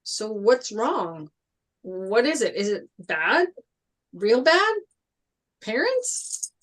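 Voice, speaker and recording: A woman reads a teenage jock boy in a film script table read. The voice is on the high side, earnest and casual, often questioning. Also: sing-song, very slow, fairly bright tone, exaggerated voice. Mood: concerned.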